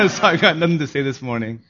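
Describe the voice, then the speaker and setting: A man who is a pastor giving a sermon, hoarse in his voice, moving from a laughing, relaxed opening into earnest, solemn preaching. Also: mid-range voice, fairly quick, muffled recording.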